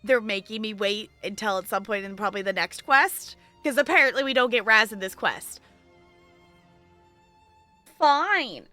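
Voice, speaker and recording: A woman's voice: medium pitch, moderate pace, pauses often, sharp tone. A woman giving casual, chatty livestream commentary while playing a video game, with music behind her. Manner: informal, chatty.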